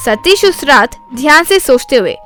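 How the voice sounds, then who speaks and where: A woman's voice: high-pitched, very animated, normal speed, fairly bright tone, soft background music. A woman narrating a scripted comic village story.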